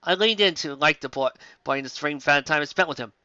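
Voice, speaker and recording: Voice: mid-range voice, fairly quick, pushed and bright, clear, resonant tone, no room sound, pitched below the performer's own voice, close to an everyday voice. A reader playing a melodramatic, self-important young heroine narrating a story with solemn, overwrought delivery, slightly lo-fi. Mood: overjoyed.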